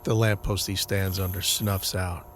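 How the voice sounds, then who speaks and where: A man's voice: low-pitched, little pitch movement, unhurried, fairly bright tone, slight background noise. A man reading scripted dramatic narration for an audio drama. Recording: dramatic music under the voice. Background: music.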